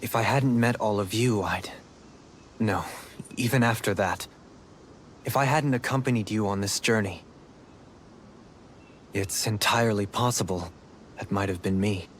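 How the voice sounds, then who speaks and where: An actor playing a refined, solemn nobleman in a video game, speaking in a measured, formal way. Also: lowish voice, a little hiss or hum, lightly acted. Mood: solemn reflection. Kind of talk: dramatic video game acting.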